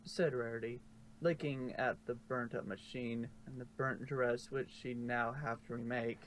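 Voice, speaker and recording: A man's voice: mid-range voice, steady tone, measured pace, a little hiss or hum, rough recording. A man narrating fan fiction as an amateur story reader.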